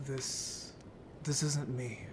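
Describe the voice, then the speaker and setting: Man acting an earnest, emotional merman, mid-pitched. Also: fairly even intonation, very slow, a little hiss or hum. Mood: shaken, wistful. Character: earnest, sensitive merman haunted by childhood friendship. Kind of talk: audio drama acting.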